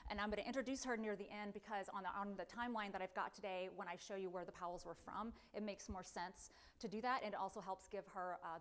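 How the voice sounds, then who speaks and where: A middle-aged woman with a booming voice addresses a library audience through a microphone. Her delivery is chatty and self-deprecating. She often backtracks with fillers and restarts as she lays out her talk. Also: a little mellow. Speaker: guest speaker, independent researcher. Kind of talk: public lecture. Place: library event room. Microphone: handheld or podium microphone.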